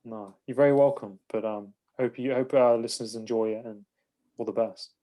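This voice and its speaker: A man's voice: medium pitch, fairly even intonation, brisk, warm tone. A man hosting a podcast, chatting casually and rambling with lots of fillers. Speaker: podcast host. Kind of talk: casual interview chat. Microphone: phone call.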